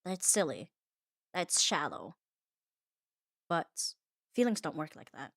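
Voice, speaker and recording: A woman's voice: deep voice, sing-song, a little slow, a bit bright, no room sound. Woman, earnest ASMR monologue, very dry sound. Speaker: ASMR audio performer. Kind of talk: scripted comfort ASMR monologue.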